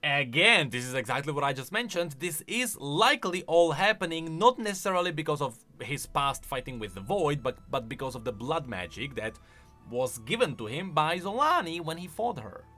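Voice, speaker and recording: A man's voice: on the high side, lively intonation, normal speed, sharp tone, faint music behind. A man hosting a video, giving casual, enthusiastic commentary on game lore and explaining as he goes. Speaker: video host.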